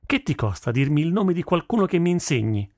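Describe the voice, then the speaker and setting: A man's voice: on the high side, very animated, fairly quick, a little mellow, dry recording, studio quality, pitched above the performer's own voice. A man voicing a stubborn, fiery thirteen-year-old girl in an audiobook, with an insistent, youthful manner. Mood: insistent, defiant. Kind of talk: audiobook character voice.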